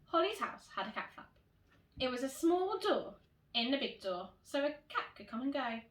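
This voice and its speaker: In an echoey room, a woman reads a storybook to young children. Her fairly high voice moves with lots of expression and variety, like a primary teacher addressing her pupils. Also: sing-song, moderate pace, a bit bright. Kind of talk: animated children's storybook reading. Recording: roomy echo, distant microphone.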